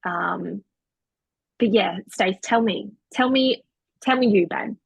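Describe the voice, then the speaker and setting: A woman in her thirties co-hosts a podcast and speaks in an earnest, uplifting way. Her voice is a little husky at a middle pitch. The recording is dry and close to the mic. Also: takes it slowly, no echo, low-quality recording. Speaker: podcast co-host. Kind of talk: casual chat.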